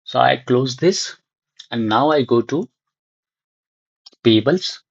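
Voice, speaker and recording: A man with a husky voice talks through a software task as an instructor, in halting fragments as he thinks aloud. The recording is dry and somewhat dull. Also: medium pitch, lively intonation, no echo. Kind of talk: unscripted screen-share walkthrough.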